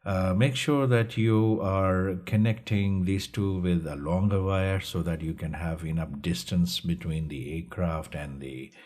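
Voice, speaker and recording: A man presenting a tutorial over slides, explaining step by step in a halting way with repetition. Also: deep voice, fairly even intonation, a little mellow. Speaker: instructor presenting a DIY electronics project.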